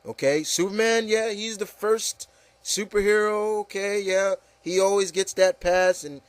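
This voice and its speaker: A man's voice: high voice, steady tone, unhurried, no room sound. A man hosting a casual, opinionated discussion, speaking emphatically and repeating phrases to drive points home. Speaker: podcast host.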